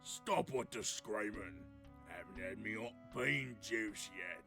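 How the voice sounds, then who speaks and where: A gravelly, grumpy old-fashioned troll. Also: male voice, on the low side, takes it slowly, pushed and bright, faint music behind, heavily acted. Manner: grumbling, rustic speech. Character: grumpy, grumbling horned troll-like tribesman. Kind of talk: fantasy audio drama acting.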